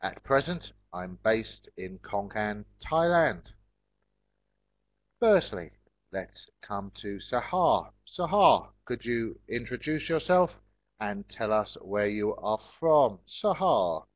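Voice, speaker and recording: An English man with a low voice teaches an online class at a very slow pace. He is polite and encouraging, addressing learners in simple, measured phrases, and the lo-fi call audio is very dry. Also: sing-song, no room sound. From England.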